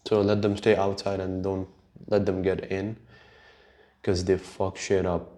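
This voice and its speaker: Slow, low-voiced man with Urdu accent. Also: flat delivery, mellow. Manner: unhurried, halting, sweary. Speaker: podcast host. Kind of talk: casual rambling solo podcast chat.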